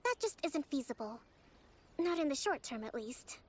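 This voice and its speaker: A woman's voice: high-pitched, sing-song, normal speed, a little hiss or hum. A woman playing a poised, firm young island leader in a video game, speaking politely and articulately like a diplomat. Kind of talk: scripted video game dialogue.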